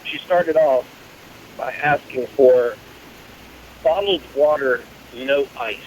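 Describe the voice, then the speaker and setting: A man's voice: mid-range voice, takes it slowly, slight background noise. A man on a casual phone call, telling his story in a dry, exasperated way. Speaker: phone-in guest. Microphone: telephone.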